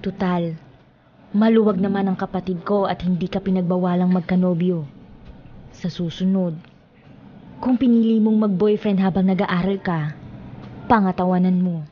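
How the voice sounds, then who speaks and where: An actress playing a kindly, moralizing aunt in a Filipino radio drama, giving measured, earnest advice. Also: female voice, fairly low pitch, steady tone, lightly acted. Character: kindly, moralizing aunt advising her young niece. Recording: dull lo-fi sound, faint noise.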